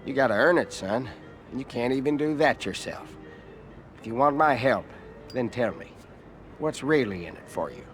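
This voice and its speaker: An actor playing an arrogant, condescending American senator in a video game cutscene, blunt and haughty in manner. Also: male voice, mid-range voice, animated, moderate pace, long pauses, soft background music, natural voice. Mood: contemptuous, blunt.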